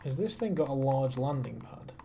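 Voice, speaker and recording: A man's voice: mid-range voice, big pitch swings, normal speed, gravelly, warm tone, a little hiss or hum. A man giving casual, self-deprecating live commentary to his viewers while playing a space game. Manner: chats to viewers while piloting. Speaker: streamer.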